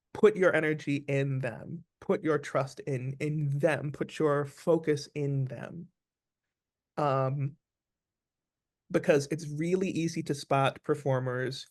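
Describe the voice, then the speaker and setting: A man's voice: on the high side, steady tone, slow pace, long pauses, a little mellow. A man speaking as an interview guest, giving advice conversationally in an earnest, emphatic way, repeating phrases for stress.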